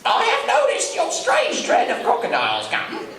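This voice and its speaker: A man's voice: very high-pitched, huge pitch swings, moderate pace, a bit bright, heavily acted. A man playing a dim, timid, fawning pirate first mate in an old-time radio play. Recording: very echoey hall, distant microphone.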